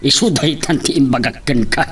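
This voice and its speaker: Guy doing older ilocano dad, chiding and advising, highish voice going all over, bit off mic, radio drama. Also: a bit bright, distant mic, full character voice. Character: older father figure, advising and chiding the young man. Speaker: actor in an audio drama.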